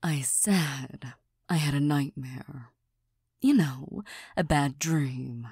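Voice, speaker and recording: A prickly young girlfriend who hides her tenderness, as a woman plays her in an audio roleplay. Her voice is low and very husky, and she half-whispers slowly with little stammers and shy laughs. The sound is dry and close, with no room around it. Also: darkish tone, dry recording, heavily acted. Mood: shy, hesitant. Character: proud, touchy young girlfriend hiding tenderness. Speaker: voice actor in a listener roleplay.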